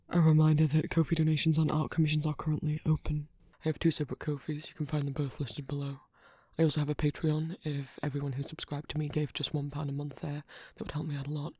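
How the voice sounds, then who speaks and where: A soft-spoken man, an ASMR creator, reading a channel outro and supporter names in a list-like way. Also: a little high, breathy edge, no echo.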